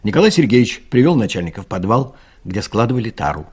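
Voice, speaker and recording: Man, narrator, husky, fairly low, lively swings in pitch, scripted comic storytelling. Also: fast, soft background music.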